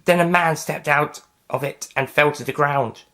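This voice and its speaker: A man's voice: a little high, moderate pace, bright, forceful tone, pitched above the performer's own voice. A man voicing an earnest, polite female space agency agent in an audio science fiction story. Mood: earnest, reporting.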